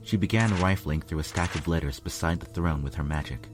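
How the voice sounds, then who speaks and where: A man's voice: sits low, fairly quick, lightly acted. A man narrating a fan fiction story as a lovelorn pony royal guard, grave and dramatic. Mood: tense. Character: male pony royal guard telling his lovelorn tale. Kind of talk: scripted first-person story narration. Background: music.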